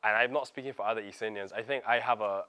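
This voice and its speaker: Smooth, clear-voiced Barbadian man, lower-pitched. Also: quick pace, bright, forceful tone. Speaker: interviewee. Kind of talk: reflective documentary interview.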